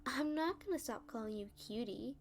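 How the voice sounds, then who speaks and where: A woman playing a playful, smug female supervillain in an ASMR roleplay, teasing and taunting with drawn-out phrasing. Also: a little high, animated.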